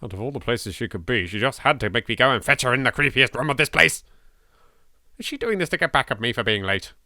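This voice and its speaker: A man's voice: mid-range voice, expressive, fast talker, pushed and bright, dry recording, studio quality. A British man doing a let's play, reading horror game text aloud with theatrical expression and dropping in his own sweary asides. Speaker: video game let's player.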